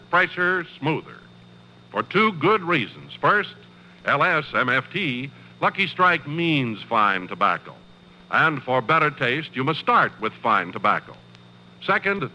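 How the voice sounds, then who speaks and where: A man's voice: mid-range voice, bright, forceful tone, a little hiss or hum. An American man announcing in scripted 1950s radio style, with a polished, emphatic sales manner. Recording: dull old radio recording, music behind.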